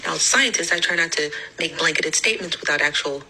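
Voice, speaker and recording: A woman's voice: sits low, quick pace, pushed and bright, a little hiss or hum. A woman content creator speaking in a lecturing, accusatory way in a clip from her own video. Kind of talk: clipped video callout.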